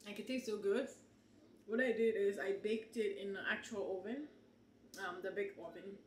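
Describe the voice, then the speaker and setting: A woman in her twenties vlogging casually at home, talking to viewers with frequent laughs and animated asides, filmed on a handheld camera. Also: mid-range voice, moderate pace, roomy sound, a little hiss or hum. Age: 20s. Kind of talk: casual vlog chat. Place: small apartment kitchen and bedroom indoors. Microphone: handheld camera at arm's length.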